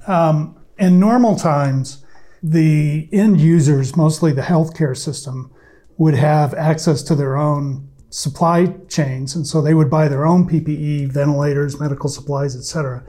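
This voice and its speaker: A man, a podcast guest, speaking with a raspy voice from allergies and explaining things in a measured way with some fillers. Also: fairly high pitch, mellow. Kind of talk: conversational interview answers.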